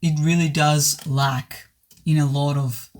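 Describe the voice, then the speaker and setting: Hoarse, earnest man reviewing a film. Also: on the high side, level tone, slow pace. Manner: hesitant, many restarts, earnest. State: voice worn from recent illness. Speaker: movie reviewer. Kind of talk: casual spoken film review.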